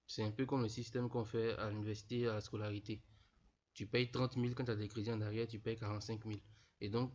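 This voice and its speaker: A young man, his voice stuffy from a cold and sitting a little low. He explains things plainly and leans on the same phrases over and over, speaking to fellow students as equals. The recording is dull and lo-fi. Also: little pitch movement, quick pace, a little mellow. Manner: repetitive, peppered with "voilà", addresses students informally. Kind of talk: casual explanatory screen tutorial.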